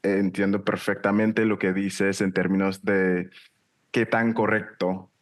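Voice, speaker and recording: A man interviewing a guest, asking friendly questions and often checking in with a quick tag question. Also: deep voice, level tone. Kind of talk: casual interview.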